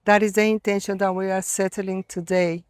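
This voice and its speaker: A middle-aged woman from Colombia with a Colombian Spanish accent, giving an earnest, reflective spiritual talk to a gathering, with many pauses and fillers. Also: mid-range voice, flat delivery, dry recording, clean studio sound. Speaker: gathering organizer.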